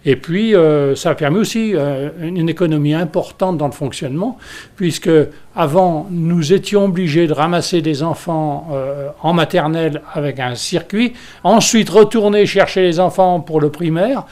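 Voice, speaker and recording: French man, school delegate, slightly high voice, explaining stuff off the cuff, feeling for words. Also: normal speed, darkish tone. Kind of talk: unscripted explanatory talk.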